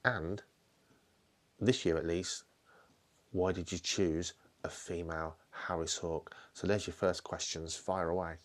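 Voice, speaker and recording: A man hosting a channel, asking questions in a relaxed, conversational way. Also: low-pitched, unhurried, pauses often. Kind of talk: casual recorded message.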